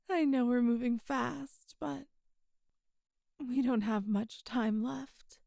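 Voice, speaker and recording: A woman acts as an immortal demon goddess in an ASMR roleplay, her high voice mellow and close, with almost no room around it. She speaks tenderly and wistfully, halting softly between phrases like a lover confiding. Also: gravelly, no echo, exaggerated voice. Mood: earnest, loving. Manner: slow, halting, intimate, wistful. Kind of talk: intimate ASMR audio roleplay.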